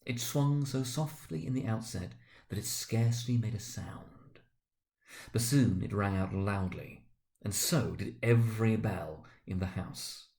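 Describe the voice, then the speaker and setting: A man giving a dramatic reading of a classic novel, expressive, swinging between loud emphasis and hushed, slowed passages on ominous words. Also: lowish voice. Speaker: school director reading aloud.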